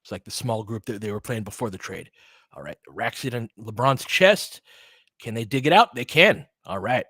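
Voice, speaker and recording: A man's voice: lowish voice, expressive, normal speed, a bit bright, slightly rough, no echo, clean studio sound. A man doing casual live basketball play-by-play in short clipped phrases, with informal slang and a fan's partisan reactions. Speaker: play-by-play commentator.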